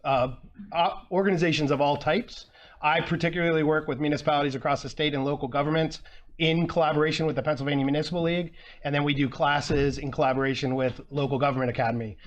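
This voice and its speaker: Earnest man presenting at a conference. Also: a little high. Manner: earnest, restarts phrases, fillers between points. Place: conference room.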